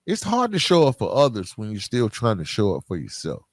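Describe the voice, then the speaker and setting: A man's voice: fairly low pitch, wildly swooping pitch, fairly quick, dry recording, studio quality. An American man hosting a solo podcast, talking to listeners in an informal, streetwise way with emphatic repetition and rhetorical questions. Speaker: podcast host. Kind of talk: conversational solo podcast monologue addressed to listeners.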